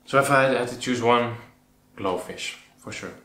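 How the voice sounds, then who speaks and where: A man's voice: mid-range voice, a little slow, sharp tone. A man from the Netherlands with a Dutch accent, talking casually and a little hesitantly as he answers questions as a channel host. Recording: roomy echo with background noise.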